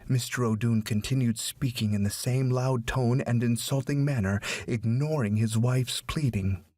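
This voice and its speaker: A man's voice: medium pitch, normal speed, darkish tone, no room sound, studio quality. A man narrating a scripted story.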